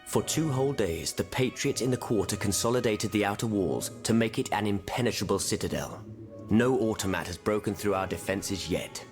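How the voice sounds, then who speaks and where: An actor playing a solemn, persuasive revolutionary in a video game, measured and formal. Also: male voice, lowish voice, lightly acted. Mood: solemn, proud. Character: grave, solemn French revolutionary leader, discreet and persuasive. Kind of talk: video game cutscene acting. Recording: game music behind.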